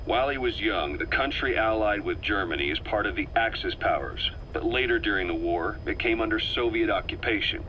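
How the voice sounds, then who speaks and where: An actor playing a grave middle-aged intelligence operative in a video game, delivering a measured, deliberate, serious briefing. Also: male voice, fairly low pitch, expressive, highs cut off, slight background noise, close to an everyday voice. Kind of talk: dramatic scripted briefing narration.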